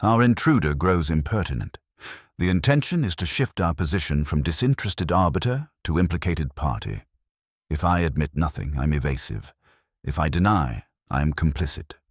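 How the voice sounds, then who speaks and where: Man acting cool commanding male detective, audiobook, rumbling low pitch, lively emphasis, pompous formal phrasing. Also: moderate pace, slightly rough, no echo, studio quality, full character voice. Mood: indignant.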